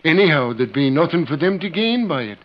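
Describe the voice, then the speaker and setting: Folksy older western rancher. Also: male voice, fairly high pitch, big pitch swings, fairly quick. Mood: resigned. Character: folksy older rancher, grieving father of condemned son. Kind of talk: old-time radio western acting. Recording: muffled old radio recording.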